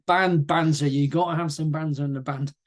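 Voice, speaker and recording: English host guy from the southwest, jokey and chatty, bit of a higher voice. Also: fairly even intonation, brisk. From southwest England. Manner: chatty, jokey, banter-loving. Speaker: interview host, musician. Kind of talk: casual interview chat.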